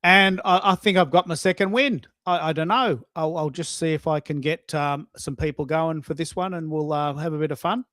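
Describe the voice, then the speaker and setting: A man's voice: fairly high pitch, moderate pace, few pauses, a bit bright, no echo, clean studio sound. A man with an Australian accent, hosting a live audio show in a casual, rambling, hesitant way. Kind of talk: casual unscripted live chat.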